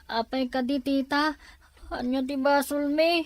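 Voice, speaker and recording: A woman playing a cautious, quietly hurt orphaned older sibling in an audio drama, with a hesitant manner. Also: sits high, steady tone, measured pace, no room sound. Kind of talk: Ilocano audio drama acting.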